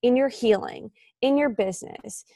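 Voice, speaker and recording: A woman's voice: on the high side, moderate pace, mellow, dry recording, studio quality. A woman in her thirties, an author and interview guest, talking in a casual, reflective way with many fillers. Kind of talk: casual podcast conversation.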